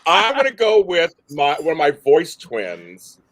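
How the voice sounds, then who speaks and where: A man from the Caribbean, a guest in a casual debate chat, deep-voiced, playful and emphatic. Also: wildly swooping pitch, unhurried, a crowd in the background.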